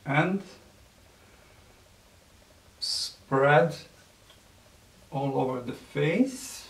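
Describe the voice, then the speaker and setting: A man with a Serbian accent, whose first language is Serbian, gives a makeup tutorial in halting English with many fillers and soft nervous laughs. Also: on the high side. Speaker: beauty vlogger. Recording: echoey room, mic at a distance.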